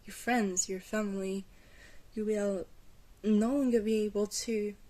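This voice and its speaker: A woman playing a glamorous vampire mentor in an ASMR roleplay, patient, reassuring and gently wry, with a soft, hesitant delivery. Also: medium pitch, steady tone, unhurried, lightly acted. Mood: gentle, reassuring.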